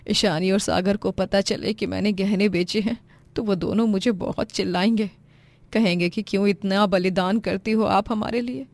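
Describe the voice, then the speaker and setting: Woman acting a gentle, earnest, self-sacrificing mother. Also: medium pitch, close to an everyday voice. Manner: earnest, sentimental. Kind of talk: dramatised Hindi moral story.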